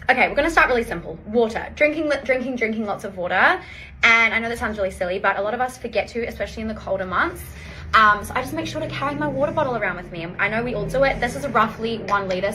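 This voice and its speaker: A woman's voice: medium pitch, sharp tone, slight background noise, low-quality recording. A woman chatting to viewers with casual, emphatic self-improvement advice, addressing them directly.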